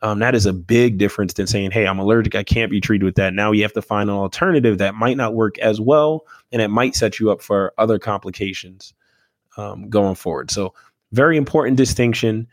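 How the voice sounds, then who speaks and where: A man explaining health topics in a measured, teaching way, often checking in with "right?" and filling pauses with "um.". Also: on the low side, no echo, studio quality. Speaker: medical expert co-host. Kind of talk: explanatory health podcast talk.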